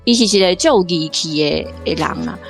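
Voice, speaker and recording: Animated woman guest from Taiwan. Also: fairly low pitch, brisk, soft background music. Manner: animated, laughs often, restarts phrases. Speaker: guest reader discussing the drama. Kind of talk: casual on-air discussion.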